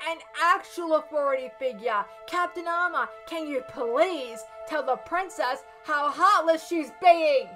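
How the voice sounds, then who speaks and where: Female pony, spiteful, accusing, very high, piercing, radio drama. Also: unhurried, hardly any pauses, fairly bright tone, faint music behind. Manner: shrill, accusing.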